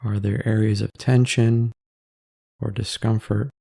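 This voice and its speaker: A man leading a guided meditation in a soft-spoken, measured, calming way with pauses between phrases. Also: lowish voice, gravelly, dry recording, clean studio sound.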